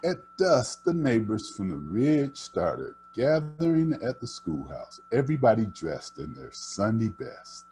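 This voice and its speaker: An older man reading a children's storybook aloud, with deliberate, dramatic phrasing. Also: on the low side, very animated, warm tone, a little hiss or hum, poor audio. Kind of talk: expressive read-aloud storytelling.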